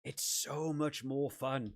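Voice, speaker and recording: Uk guy rambling on a live stream, voice going a bit hoarse, lots of restarts. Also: medium pitch, fairly even intonation, slow pace. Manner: rambling, chatty, many restarts. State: voice wearing out, hoarse. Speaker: rugby gaming channel host. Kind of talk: casual live stream chat.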